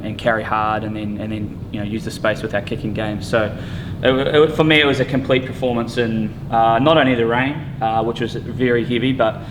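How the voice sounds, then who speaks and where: Relaxed man with halting, filler-filled answers. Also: lowish voice, background noise. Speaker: head rugby coach. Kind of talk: casual interview answers.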